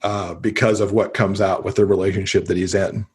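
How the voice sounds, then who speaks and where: A man's voice: low voice, flat delivery, brisk, darkish tone, breathy edge. A man, middle-aged, talking casually and enthusiastically as a guest in an interview about books. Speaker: podcast guest, avid reader.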